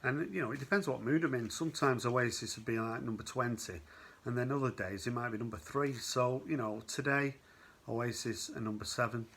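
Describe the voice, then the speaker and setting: A man's voice: medium pitch, fairly quick, creaky, a bit bright, slight background noise. Rambling middle-aged man, mild Manchester accent. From Manchester, England. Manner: rambling, with many "um"s and "you know"s. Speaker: vinyl record channel host. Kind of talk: casual chat presenting a countdown.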